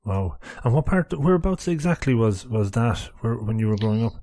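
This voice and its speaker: A man's voice: mid-range voice, expressive, brisk, mellow. A man hosting a podcast interview, asking earnest, searching questions. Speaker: podcast host.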